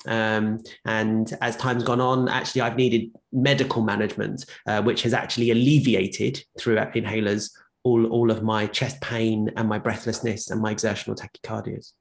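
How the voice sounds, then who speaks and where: A man sharing his own health experience in a casual conversation, often pausing and restarting. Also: lowish voice, flat delivery, creaky. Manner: rambling, many fillers and restarts. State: short of breath at times. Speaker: guest with lived experience of long covid. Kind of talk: conversational personal account.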